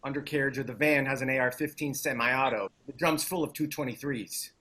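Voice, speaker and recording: A man's voice: mid-range voice, fairly quick, bright, forceful tone. A man playing a wry, gung-ho activist in a dramatic table read, speaking in clipped, punchy phrases. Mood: wry, confident. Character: McKenna, wry, gung-ho male militant activist. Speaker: actor.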